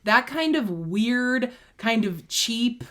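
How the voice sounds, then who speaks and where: A woman's voice: on the high side, expressive, takes it slowly, fairly bright tone. A young woman co-hosting a casual chat, rambling enthusiastically with lots of "like". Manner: gushing, rambling, heavy use of "like". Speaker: co-host.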